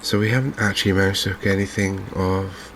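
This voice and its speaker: Low, monotone, soft-spoken man. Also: fairly quick, a little hiss or hum. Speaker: gaming video host. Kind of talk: softly spoken ASMR game commentary.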